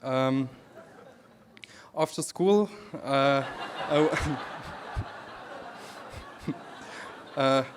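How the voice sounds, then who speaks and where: A man in his thirties from Namibia with a German accent, giving a relaxed conference talk with dry humor and many pauses. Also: mid-range voice, crowd noise, noisy background. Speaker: speaker presenting his creative work. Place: event hall. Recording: reverberant hall.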